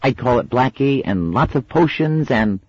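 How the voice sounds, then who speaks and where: A man's voice: medium pitch, animated, moderate pace, darkish tone, husky, no room sound, dull audio, pitched above the performer's own voice. A man voicing a stubborn, bossy six-year-old girl who whines and insists, in a storybook reading. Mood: whiny. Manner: whiny, insistent.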